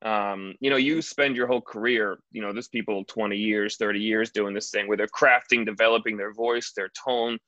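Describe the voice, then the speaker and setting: A man who works as a stand-up comedian, chatting casually in an interview and telling stories with quick asides. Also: fairly low pitch, no echo, highs cut off. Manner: animated storyteller, quick asides.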